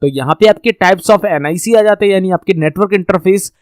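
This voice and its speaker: A man's voice: sits high, a little fast, dry recording, clean studio sound. An Indian man giving an informal instructional lesson, explaining step by step, repeating points and checking in with learners. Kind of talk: explanatory tech lesson with slides, addressing learners.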